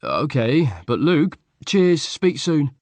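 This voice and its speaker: A man voicing a cheerful, eager young male friend, lifting his voice well above his narrating pitch. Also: very animated, slow pace, dry recording. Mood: friendly. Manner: bright, eager.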